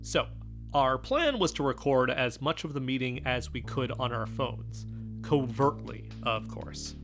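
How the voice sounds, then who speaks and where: A woman's voice: extremely low pitch, animated, unhurried, creaky, soft background music, heavily acted. A woman playing an easygoing young amateur investigator on a fiction podcast, casual and wry. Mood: wry, confiding.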